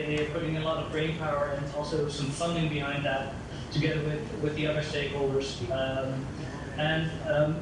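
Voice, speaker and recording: A man with a Dutch accent giving spontaneous remarks at a conference, halting and repeating words as he goes. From Netherlands. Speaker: audience commenter, internet society director. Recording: echoey, distant, noisy conference link with rumble.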